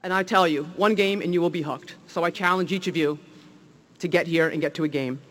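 A man's voice: fairly high pitch, fairly quick, fairly bright tone. A man with a New Jersey accent, a league executive giving an enthusiastic public speech at a welcome event, with many fillers and restarts.

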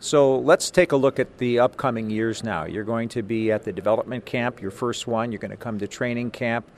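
A man's voice: medium pitch, brisk. A man hosting a conversational sports interview, talking in a wordy, encouraging way.

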